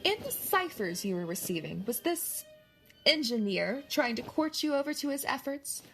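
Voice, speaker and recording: A woman's voice: high-pitched, big pitch swings, moderate pace, vocal fry, a bit bright, soft background music, exaggerated voice, poor audio. A woman playing a shrewd, menacing crime boss in an audio drama, articulate, mocking and commanding. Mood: cool, commanding.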